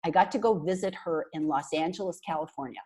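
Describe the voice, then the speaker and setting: Husky-voiced chatty woman on a call. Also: on the low side, fast. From Canada. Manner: animated, talks with her hands, chatty and emphatic. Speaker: marketing consultant guest. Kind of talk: casual video call interview. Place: small bright room indoors. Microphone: earphone headset on a video call.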